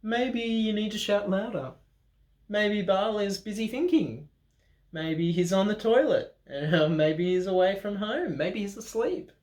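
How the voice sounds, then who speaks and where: A man's voice: sits high, lively intonation, unhurried, darkish tone, pitched above the performer's own voice. A man voicing a bold prophet who challenges and teases a crowd. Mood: teasing. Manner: challenging, mocking. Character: bold male prophet, ordinary man, teasing. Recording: roomy echo.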